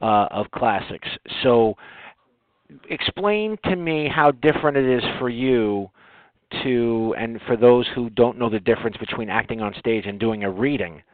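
A man hosting an interview in a casual, conversational way, with wordy, halting phrasing. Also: medium pitch, dry recording. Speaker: interview host. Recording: tinny phone-like audio, very dry.

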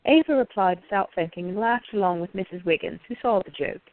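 A woman's voice: medium pitch, animated, a little fast, dry recording, narrow, tinny audio. A woman reading scripted narration for a radio play.

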